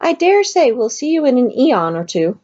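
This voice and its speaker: A fluttery, kindly old otherworldly woman, as a woman reading aloud puts her on, pitched high and swooping as she gushes. The voice has a soft, husky edge. The recording sounds dull. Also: big pitch swings, normal speed. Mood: gushing.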